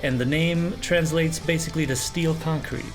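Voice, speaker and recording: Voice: medium pitch, steady tone, normal speed. A music reaction co-host chatting casually, with slangy, jokey talk and some swearing.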